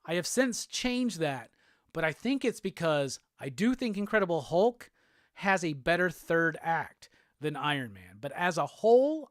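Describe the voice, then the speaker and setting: A man from Alabama with a Southern accent, hosting a casual live show and answering chat comments in a relaxed, rambling way. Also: on the high side, no echo, studio quality. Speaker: host.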